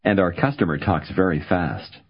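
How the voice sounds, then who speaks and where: A man narrating a language course, giving calm, measured prompts in a scripted instructional style. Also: deep voice, husky. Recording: dull lo-fi recording.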